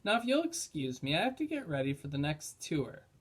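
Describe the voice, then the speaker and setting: Man as young female park ranger, storybook: flippant, curt, hurried, pitched up a little. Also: sing-song, slightly rough. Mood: annoyed, hurried. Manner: breezy, curt.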